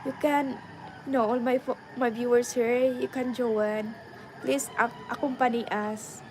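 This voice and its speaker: A woman's voice: on the high side, flat delivery, a little slow, darkish tone, slight background noise, rough recording. A young adult woman with a Filipino accent, chatting casually to her viewers and switching between English and Tagalog. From Philippines. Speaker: vlogger.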